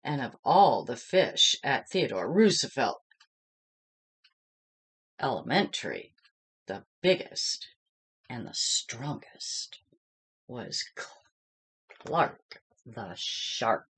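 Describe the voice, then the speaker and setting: A woman reading a children's story aloud in a dramatic, expressive style, speaking to young listeners as she goes. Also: a bass voice, extremely slow, long pauses, slightly rough. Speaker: storybook reader.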